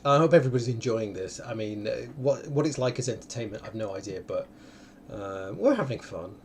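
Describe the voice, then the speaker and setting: A man's voice: fairly low pitch, expressive, a little fast, a little mellow, slight background noise. A man hosting a casual livestream game, chatting in a hesitant, self-deprecating way. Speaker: livestream host. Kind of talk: casual banter during an online drawing game.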